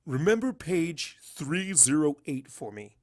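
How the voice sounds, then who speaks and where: A man's voice: fairly high pitch, very animated, a little slow, no room sound, studio quality. A man voicing an arrogant, irritable male pony wizard with a blunt, bossy manner in a story reading. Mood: guarded.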